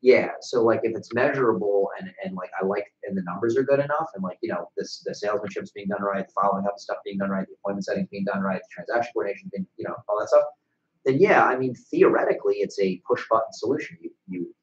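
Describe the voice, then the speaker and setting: Low-voiced young American man in an echoey room. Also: fast. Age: young adult. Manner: blunt, casually profane. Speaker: webcast co-host and business owner. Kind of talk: casual business chat. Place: small bright office, indoors. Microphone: desk microphone on a stand. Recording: echoey room, dull sound.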